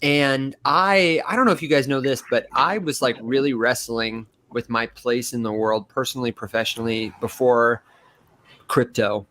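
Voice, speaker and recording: A man with a nasal, reedy voice and a slight Michigan accent, co-hosting a casual chat and telling animated stories with frequent laughter. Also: medium pitch, normal speed. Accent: Michigan, mostly neutral American. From Las Vegas, raised in Michigan. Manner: animated storyteller, laughs often. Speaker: co-host.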